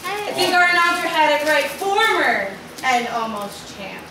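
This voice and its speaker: A woman's voice: high voice, big pitch swings, unhurried. A woman playing general manager in a live wrestling promo, taunting and sarcastic. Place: small indoor wrestling venue with audience. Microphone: far camera. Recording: very echoey room, far camera, loud noise.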